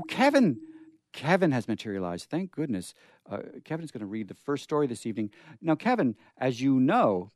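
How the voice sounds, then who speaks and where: Animated, playful man hosting a show. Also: mid-range voice, no echo, studio quality. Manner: playful, theatrical, effusive. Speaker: host. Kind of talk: whimsical live radio show hosting.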